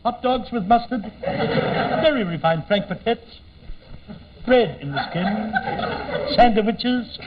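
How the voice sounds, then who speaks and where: An actor playing a cheeky, boastful hot-dog vendor with an Australian accent and camp comic patter on old-time radio. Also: male voice, sits high, expressive, takes it slowly, pauses often, noisy background. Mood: hawking. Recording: muffled old radio recording, audience behind.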